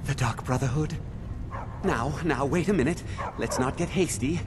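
An actor as a flustered man, a cook in a fantasy game, touchy and anxious to talk his way out. His voice sits at a middle pitch, with clear background noise behind it. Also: close to an everyday voice. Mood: nervous pleading. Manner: flustered, fast-talking bargaining. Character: nervous Breton male cook, indignant then pleading. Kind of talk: video game voice acting.

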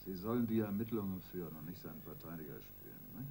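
Senior police detective, low voice, sarcastic, domineering, condescending, radio crime play, noisy background. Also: male voice, expressive, quick pace, gravelly, mellow. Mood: lecturing, insistent. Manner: mocking, condescending, emphatic. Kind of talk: German radio crime drama acting.

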